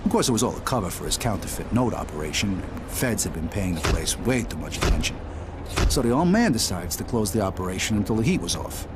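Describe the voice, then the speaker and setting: A man's voice: low-pitched, very animated, quick pace, noisy background. A man playing a tough, streetwise New mobster who narrates his story in a game cutscene, hard-edged and profane. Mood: grim recollection. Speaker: narrating protagonist.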